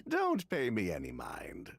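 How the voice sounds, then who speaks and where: Sly, very animated merchant. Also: male voice, a little high, slow pace, husky, full character voice. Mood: breezy, sly. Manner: casual, breezy, theatrically polite. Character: smooth-talking male merchant, affable, sly, evasive. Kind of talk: anime game voice acting. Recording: music behind.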